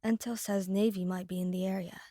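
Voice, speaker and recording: Woman as mafia second in command, dutiful, serious, mellow voice, audio roleplay. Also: medium pitch, fairly even intonation, fairly quick, no echo, studio quality, close to an everyday voice. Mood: urgent. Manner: hushed, reporting to the boss.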